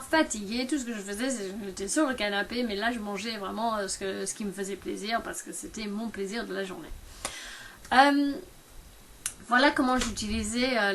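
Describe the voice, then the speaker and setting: A woman in her fifties talking casually to camera about her own experience, halting often with fillers and restarts. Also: mid-range voice, a bit bright, a little hiss or hum. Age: 50s. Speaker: product distributor.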